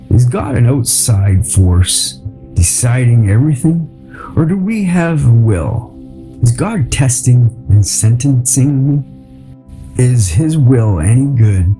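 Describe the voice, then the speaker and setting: Dark, mellow male audiobook narrator, unhurried. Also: on the low side, poor audio. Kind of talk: scripted audiobook narration of memoir and poetry.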